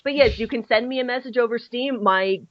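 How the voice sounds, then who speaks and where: A woman's voice: a little high, brisk, no room sound. A young woman co-hosting a fan podcast, chatting in a rambling, self-deprecating way with many restarts. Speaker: podcast co-host. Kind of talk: casual chat. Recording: dull lo-fi dry audio.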